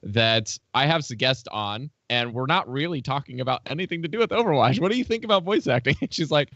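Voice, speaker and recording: A man's voice: medium pitch, lively intonation, fast talker, fairly bright tone, no room sound. A man hosting an interview show, asking questions in a rambling way and laughing often. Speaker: podcast host. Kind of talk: casual interview chat. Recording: dry, dull lo-fi audio.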